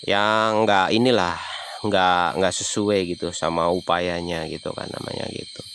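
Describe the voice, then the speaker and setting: A man's voice: low-pitched, fairly even intonation, unhurried, fairly bright tone, a little hiss or hum. A man from Banyumas with a Javanese accent, chatting casually on a livestream and answering viewers' comments. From Banyumas, Central Java. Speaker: livestreaming explorer.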